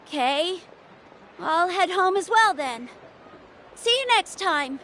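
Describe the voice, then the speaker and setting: A very high, anxious child. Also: female voice, lively intonation, takes it slowly, bright, forceful tone, slight background noise, heavily acted. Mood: quiet. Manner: hesitant, earnest. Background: game music.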